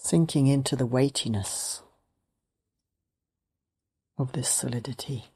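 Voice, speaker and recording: A woman meditation teacher leading a guided meditation in gentle, sparse phrases with long pauses between them. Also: very deep voice, husky.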